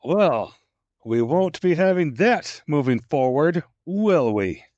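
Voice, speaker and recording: A man plays a blustering Norse warrior god for an audio drama. He is sarcastic and threatening, taking his time with lively swings in pitch. The sound is slightly dull and close. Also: slow, no echo. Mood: menacing. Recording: dry, dull close-mic recording.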